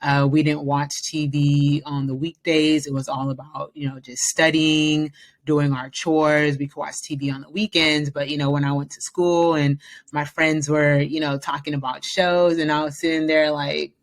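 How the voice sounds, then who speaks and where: A woman's voice: deep voice, little pitch movement, normal speed. A woman in her thirties from the Bay Area, talking as a guest in a casual interview, upbeat and confident with plenty of fillers. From San Francisco Bay Area, US. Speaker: podcast guest.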